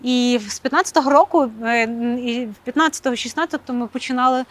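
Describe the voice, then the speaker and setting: Thoughtful woman with a fairly high voice. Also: fast. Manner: thoughtful, hesitant, many filler sounds. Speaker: arts center program director. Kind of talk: interview answers. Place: rooftop outdoors, then indoor hall.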